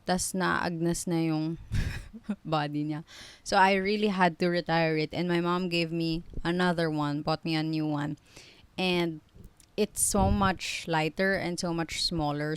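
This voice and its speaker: A woman's voice: fairly low pitch, smooth, no echo. A woman, chatting casually in a rambling vlog with frequent self-corrections and giggly asides. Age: 20s. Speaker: vlogger.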